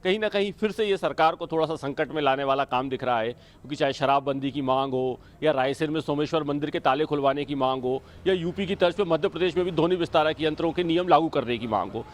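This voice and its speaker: Male news reporter. High-pitched, rapid explanatory delivery, live analysis style.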